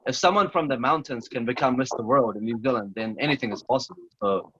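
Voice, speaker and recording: A man's voice: mid-range voice, fast, a bit bright, slightly rough, no echo. Earnest man on a lo-fi video call. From Chechnya. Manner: earnest, motivational, repeats himself. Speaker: interview guest. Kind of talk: casual video-call interview.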